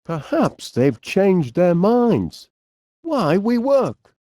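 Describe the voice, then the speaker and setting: A man's voice: high voice, big pitch swings, drawn-out words, mellow, dry recording, studio quality, full character voice. A man playing a cheerful middle-aged eccentric inventor in a cartoon video game, with a Northern English accent and a quaintly polite, dithering manner. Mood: mildly puzzled.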